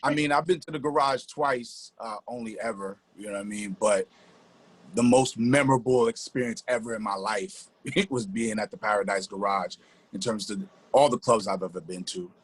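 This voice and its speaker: A man's voice: on the low side, normal speed, breathy edge. A middle-aged man reminiscing casually and colloquially, often repeating his phrases. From New Jersey. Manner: colloquial, repeats phrases, gives shout-outs.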